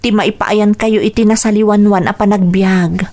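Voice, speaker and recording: A devoted young Ilocano daughter acted by a woman in a radio drama. She speaks at a middling pitch, hopeful and dutiful toward her parents. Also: fairly even intonation, moderate pace, a little mellow, natural voice. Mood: earnest, hopeful.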